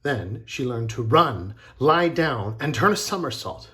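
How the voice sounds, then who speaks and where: A man reads a children's storybook aloud like a teacher. His pitch moves in lively swings and his pace is slow. He leaves dramatic pauses and narrates with deliberate expression.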